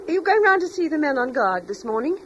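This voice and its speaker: A gentle, wistful wife. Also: female voice, high-pitched, very animated, fast, lo-fi sound, a little hiss or hum. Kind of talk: radio drama acting.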